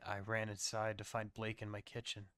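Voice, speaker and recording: A man's voice: lowish voice, completely flat delivery, normal speed, a bit bright, dry recording. Dry-sounding man narrating horror. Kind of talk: scripted first-person horror story narration.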